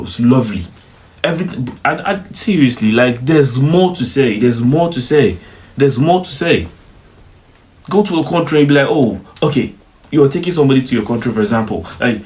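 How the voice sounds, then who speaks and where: A man's voice: medium pitch, a little mellow. A young man from Africa talking casually and emphatically as a vlogger reacting to footage, often adding "bro" and "you get me".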